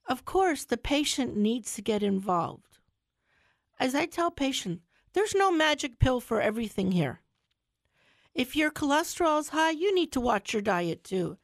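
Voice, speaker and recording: A woman's voice: fairly high pitch, no room sound, clean studio sound. Stuffy-sounding woman doctor on radio. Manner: earnest, repeats words for emphasis. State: stuffy from a cold. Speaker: family physician hosting a radio health show. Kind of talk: casual radio talk. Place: radio studio.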